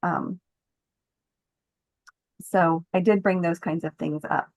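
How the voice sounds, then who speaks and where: A woman's voice: on the low side, steady tone, slow, creaky. A middle-aged woman giving personal testimony as a podcast guest in conversation. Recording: muffled, slightly echoey room sound.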